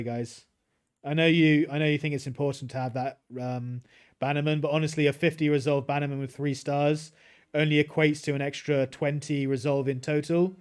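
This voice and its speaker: A man's voice: medium pitch, smooth. A British man casually commentating his gameplay on a livestream and chatting with his viewers. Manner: easygoing, chats with viewers while playing. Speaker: streamer.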